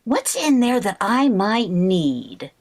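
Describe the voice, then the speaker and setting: A woman playing an excitable, scheming, flighty housewife in a 1940s-style radio comedy, theatrical and full of exclamations. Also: mid-range voice, takes it slowly, a little hiss or hum. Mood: coy, scheming. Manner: theatrical, exclamatory, mock-innocent. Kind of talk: 1940s radio sitcom acting.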